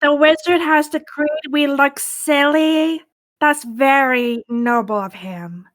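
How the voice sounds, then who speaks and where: A woman's voice: high voice, steady tone, slow pace, fairly bright tone. A woman playing a tabletop game, bantering casually and sarcastically.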